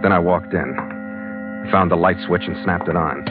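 An actor playing a wry, tough sea captain who narrates and stars in an old-time radio adventure with sardonic, terse delivery. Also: male voice, sits low, normal speed, natural voice. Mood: tense, wry narration. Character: wry, tough, hard-boiled male sea captain hero. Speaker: narrating lead of an adventure serial. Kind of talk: 1940s-style radio drama acting and narration. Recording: tinny vintage radio recording with music.

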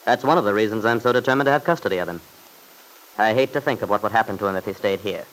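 A man playing an unyielding young father in an old-time radio play. His voice is fairly low and he talks briskly, staying polite and earnest while holding firm. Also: fairly bright tone, natural voice, poor audio. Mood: defiant.

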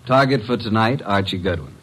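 A tough, breezy legman from an old radio detective show. His voice is low and manly. He talks in brisk, slangy lines with a wisecracking edge. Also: lively intonation, fairly bright tone, low-quality recording. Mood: wry, excited. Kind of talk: old-time radio detective drama acting.

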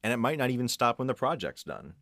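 A man speaking as a podcast guest in casual, reflective chat, explaining in a measured way and repeating phrases for emphasis. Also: medium pitch, sing-song, fairly bright tone, dry recording. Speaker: guest composer and audio engineer.

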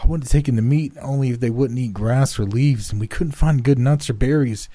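A man's voice: mid-range voice, dark tone, no room sound, pitched above the performer's own voice, lightly acted. A man voicing a frightened young boy who babbles excuses to his mother in a rush. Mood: frantic pleading. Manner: rushed, breathless pleading.

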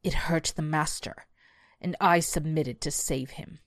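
A woman voicing a massive demonic devil dog from a horror story, guttural and deliberate, menacing yet loyal. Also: low-pitched, steady tone, no echo, clean studio sound. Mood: sorrowful. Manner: slow, deliberate menacing delivery.

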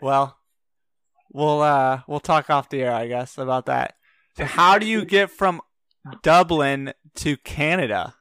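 Guy hosting a podcast, kinda high voice, smooth and crisp, dry close mic, jokey and upbeat. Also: a bit bright, no room sound. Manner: upbeat, joking, quick questions. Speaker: podcast host. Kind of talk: casual trivia podcast chat.

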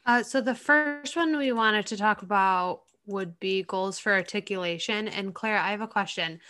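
Bright, smooth-voiced chatty woman. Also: mid-range voice, normal speed. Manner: chatty and enthusiastic, recommends resources. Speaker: podcast co-host, speech-language pathologist. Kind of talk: casual professional podcast chat.

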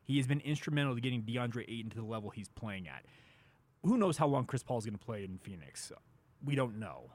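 A man with a mid-pitched voice hosts a casual sports talk show. He speaks in an emphatic, conversational way, slowing down to lean on the phrases that matter. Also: very animated, darkish tone.

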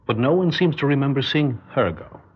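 A husky male criminal mastermind, shrewd and controlling. He speaks in measured, quietly menacing tones, on a dull, lo-fi old radio drama recording. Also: mid-range voice, lively intonation. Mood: quietly threatening. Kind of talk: classic radio drama acting.

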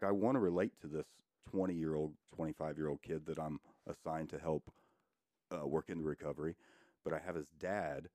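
Very deep-voiced man, dry. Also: dark tone, no echo. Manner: earnest, joking, talks in restarts. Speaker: guest, recovery coach. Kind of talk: casual podcast chat. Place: podcast room.

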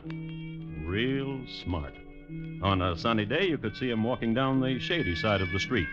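Male host, deadpan storyteller with a lively melodic range, muffled 1950s radio recording. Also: fairly low pitch. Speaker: host and narrator. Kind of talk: 1950s radio scripted true-crime narration. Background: music.

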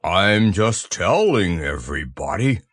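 Deep, plodding, gloomy donkey. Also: male voice, very animated, measured pace, fairly bright tone, husky, no room sound, studio quality, full character voice. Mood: resigned, grumpy. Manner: ponderous, resigned, aggrieved. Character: gloomy, grumbling, slow-spoken old donkey.

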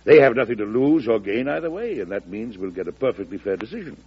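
An actor playing a firm, proud, aging American president in an old-time radio drama, with a husky voice. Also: male voice, lowish voice, big pitch swings, fast, heavily acted. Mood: resolute. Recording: dull, lo-fi old radio recording.

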